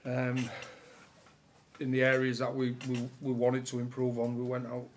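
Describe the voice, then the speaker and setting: A man, a rugby coach answering questions at a post-match press conference with relaxed, halting answers full of fillers. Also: mid-range voice, a little hiss or hum. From England. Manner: relaxed, many fillers and restarts. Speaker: rugby league head coach. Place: press room with sponsor board behind.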